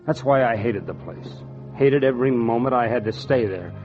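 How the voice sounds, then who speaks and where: A man's voice: medium pitch, normal speed, natural voice. Man playing earnest young houseboy, muffled old radio. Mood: bitter narration. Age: young adult. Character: resentful, meek young houseboy, earnest and bitter. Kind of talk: 1940s radio acting with first-person narration. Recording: dull vintage radio recording with music.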